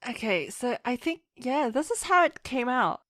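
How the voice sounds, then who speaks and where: A woman's voice: high voice, big pitch swings, moderate pace, a bit bright, dry recording, clean studio sound. A woman chatting casually as an interview guest, rambling enthusiastically and acting out her own thoughts as she goes. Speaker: podcast guest, fan fiction writer.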